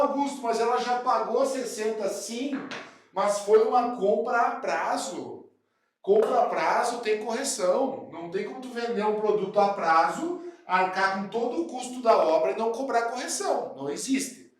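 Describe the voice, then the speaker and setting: A man's voice: high voice, expressive, moderate pace. A man from southern Brazil with a cold, explaining real estate deals to fellow brokers, chatty and often checking in with his listeners. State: has a cold. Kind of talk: explanatory talk to camera. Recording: very echoey room.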